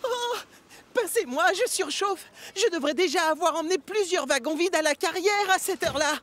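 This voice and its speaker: A mischievous young boy engine from a cartoon, very high and highly animated. The delivery is unhurried, with music behind. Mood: flustered. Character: cheeky, mischievous young male steam locomotive.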